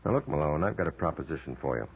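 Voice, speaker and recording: An actor playing a sardonic, mock-courteous gangster boss in an old radio crime drama. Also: male voice, rumbling low, animated, very fast, vocal fry, breathy edge, full character voice. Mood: smooth, businesslike. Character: smooth, mockingly polite gangster boss. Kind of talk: 1940s-50s radio crime acting. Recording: dull old radio recording.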